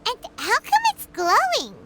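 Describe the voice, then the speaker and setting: An actress voicing a tiny, childlike fairy companion in a video game, squeaky, chirpy, curious and excitable. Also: female voice, measured pace, heavy background noise, exaggerated voice. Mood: excited, puzzled. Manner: chirpy, speaks of herself in third person.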